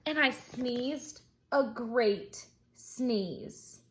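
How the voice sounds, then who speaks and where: A woman reading a children's story aloud in an expressive, theatrical way, with emphatic drawn-out words. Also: fairly high pitch, a little hiss or hum, poor audio.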